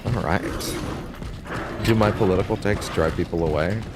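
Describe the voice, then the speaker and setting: Low-voiced rambling gaming host. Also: male voice, a little slow. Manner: rambling, many restarts, swears freely. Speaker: let's play host. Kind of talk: casual gameplay commentary. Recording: steady background noise.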